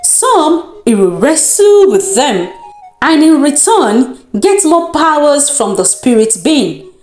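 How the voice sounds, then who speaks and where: A woman with a Nigerian accent narrates a folktale as a storyteller, in a deliberate, dramatic and expressive manner. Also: high voice. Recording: roomy echo with music underneath. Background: music.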